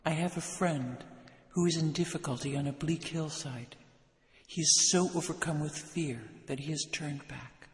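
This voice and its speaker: A man's voice: a little high, normal speed, lightly acted. Echoing, tender heavenly lady, acted by a man. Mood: tender, pleading. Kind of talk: dramatized audio drama. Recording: echoey, long hall reverb.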